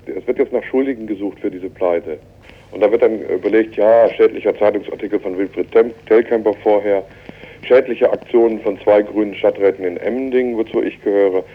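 A man giving halting, spontaneous interview answers over a telephone, repeating words as he goes. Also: fairly low pitch, husky. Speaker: interviewee, Green town councillor. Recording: tinny, narrow telephone line.

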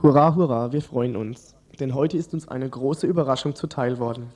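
A man's voice: medium pitch, moderate pace, darkish tone. A man presenting scripted radio news commentary with a wry, ironic manner.

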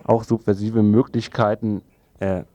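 A man presenting a semi-scripted radio introduction in an even, flat delivery. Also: fairly low pitch, measured pace, music in the background.

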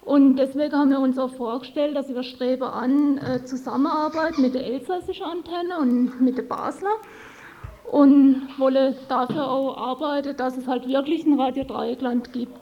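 A woman's voice: high-pitched, fairly even intonation, normal speed. A woman from a community radio team presenting her project live on air. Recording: dull lo-fi radio recording.

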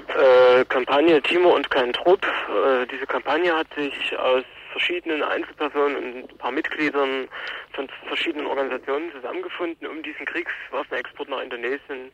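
A man giving a spontaneous interview account as an activist spokesman, with halting, hesitant delivery. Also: mid-range voice, a bit bright. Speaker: press coordinator for protest campaign, interviewee. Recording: muffled telephone interview line.

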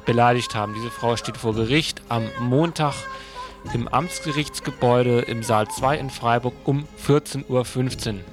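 A male radio presenter reads out listings and previews for listeners. He addresses them informally. Music plays clearly behind his voice throughout. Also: medium pitch, normal speed.

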